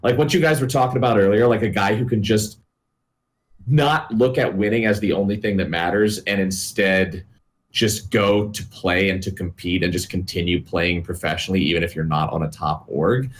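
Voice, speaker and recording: A man's voice: lowish voice, steady tone, normal speed, a little hiss or hum. A man co-hosting a podcast, talking earnestly in a casual analytical chat, hedging often with filler words.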